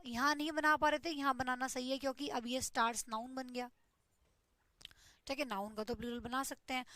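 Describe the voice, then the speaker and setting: A woman's voice: sits high, pushed and bright, no room sound. A woman teaches an online English grammar class, explaining step by step and checking in with "ठीक है". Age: 20s.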